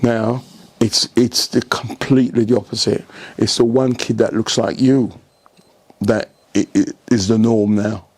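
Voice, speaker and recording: A man's voice: fairly low pitch, unhurried. An older man, telling stories in an interview as a senior coach, often posing rhetorical questions and checking that the listener follows. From England. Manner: animated anecdotes with rhetorical questions and repeated checks for agreement. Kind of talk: storytelling in an interview.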